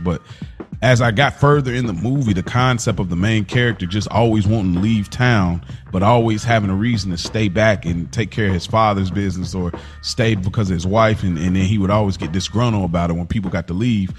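Low-voiced man chatting over music. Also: brisk. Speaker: podcast co-host. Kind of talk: casual chat.

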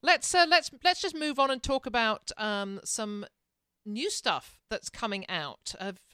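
English woman, smooth dry voice. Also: fairly high pitch, lively intonation, measured pace, pushed and bright, no room sound. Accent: English, softened by years in Canada. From England. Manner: chatty, laughs easily, hesitant with fillers. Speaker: podcast co-host. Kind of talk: casual chat.

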